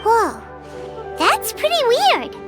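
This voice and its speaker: A woman voicing a tiny, excitable and curious fairy companion with a squeaky childlike voice in an English video game dub. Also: slow pace, full character voice. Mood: puzzled. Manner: exclaiming, refers to self in third person. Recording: loud background music.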